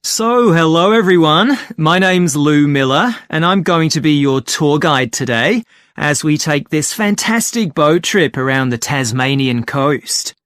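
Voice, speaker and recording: A man playing a cheerful boat tour guide, giving a scripted talk to passengers in a clear, friendly delivery. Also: on the high side, expressive, a little slow, a bit bright, no echo, studio quality. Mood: cheerful, welcoming. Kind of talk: scripted exam listening talk.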